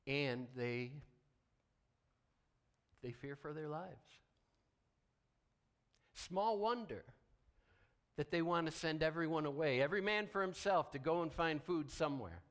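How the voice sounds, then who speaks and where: A man preaching a sermon as a pastor, deliberate and emphatic, with dramatic pauses and hushed drops. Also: fairly high pitch, expressive.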